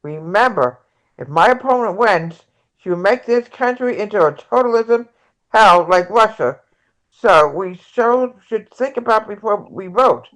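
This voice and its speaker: A woman's voice: medium pitch, expressive, natural voice, poor audio. A woman playing an earnest, hopeful progressive presidential candidate in an amateur table read of a play. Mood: urging, earnest. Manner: halting script reading with stumbles.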